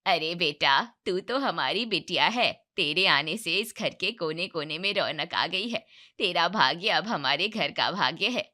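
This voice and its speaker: A woman acts out a kindly middle-aged mother in a cartoon moral tale. The voice is set lower, affectionate and full of advice. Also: animated, measured pace, sharp tone, heavily acted. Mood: affectionate, advising. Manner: affectionate, advising. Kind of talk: moral-story cartoon voice acting.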